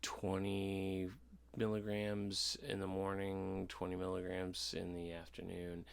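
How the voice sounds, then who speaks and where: A man in his thirties speaks in a low, creaky voice. He chats casually, as on a podcast, and rambles along candidly with frequent stops and restarts. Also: completely flat delivery. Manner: halting, rambling, candid, swearing freely. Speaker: podcast host. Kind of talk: casual podcast chat about personal experience.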